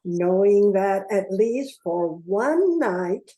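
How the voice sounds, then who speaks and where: A woman's voice: medium pitch, lively intonation, takes it slowly, warm tone, echoey room, low-quality recording. A woman performing in an amateur radio play, reading her part from a script.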